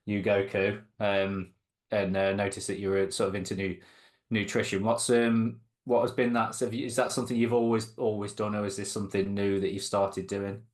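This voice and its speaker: A man's voice: lowish voice, little pitch movement, moderate pace. A man hosting a podcast interview, asking questions hesitantly and stumbling over his words. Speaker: podcast host. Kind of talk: casual interview chat.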